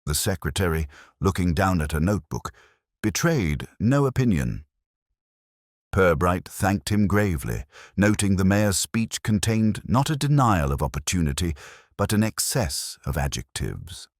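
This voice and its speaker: A man's voice: low-pitched, sing-song, unhurried, dry recording, clean studio sound. A man narrating a scripted detective story as its storyteller.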